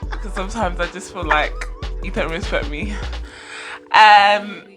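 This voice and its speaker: A woman's voice: medium pitch, measured pace, a bit bright, faint music behind. A woman in her late twenties co-hosting a podcast, playful and dramatic in casual chat. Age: 20s. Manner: playful, dramatic, laughing asides. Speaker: podcast co-host.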